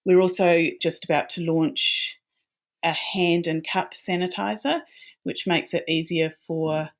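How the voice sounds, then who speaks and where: Woman, New Zealand accent, very low voice, friendly, casual interview guest. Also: fairly even intonation, unhurried. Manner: friendly, plain-spoken, with small restarts. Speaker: guest, company founder.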